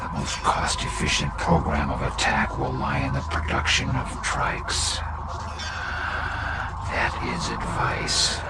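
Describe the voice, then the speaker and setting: An actor playing a cold, calculating, machine-like Mentat adviser in a game cutscene, speaking in clipped, deadpan pronouncements. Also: male voice, very deep voice, slow, over loud music. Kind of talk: video game cutscene acting, mission briefing. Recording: echoey, distant, loud background noise.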